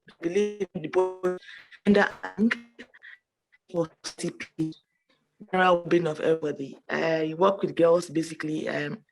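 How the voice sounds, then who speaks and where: A woman's voice: lowish voice, a little hiss or hum, low-quality recording. A woman with a Nigerian accent speaking as an advocate and panelist on an online call. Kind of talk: self-introduction on a panel. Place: online call with an unsteady connection.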